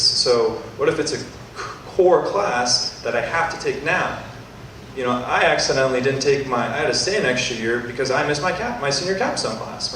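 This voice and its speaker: A man's voice: mid-range voice, fairly bright tone, slight background noise. A young man, a student presenter, answering enthusiastically and rambling with restarts at a startup event. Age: young adult. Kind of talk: pitch Q&A answer. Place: university event hall. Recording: echoey hall, distant mic.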